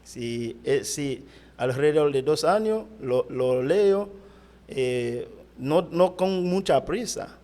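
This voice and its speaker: Haitian pastor, halting Spanish, mellow voice. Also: male voice, fairly high pitch, lively intonation. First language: Haitian Creole. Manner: halting, searching for words in Spanish. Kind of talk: interview answers.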